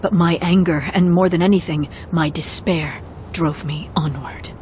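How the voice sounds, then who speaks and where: A woman playing a weary, guarded young female ex-soldier in a video game, speaking in a restrained, grave, measured way. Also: lowish voice, fairly even intonation, a little hiss or hum, close to an everyday voice. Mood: somber, bitter. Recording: loud music under the voice.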